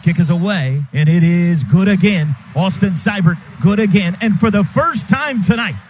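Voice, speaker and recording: A man doing excited radio play-by-play of a football game in clipped, rapid-fire calls. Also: high voice. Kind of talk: live football commentary. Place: stadium radio booth. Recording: noisy stadium broadcast booth.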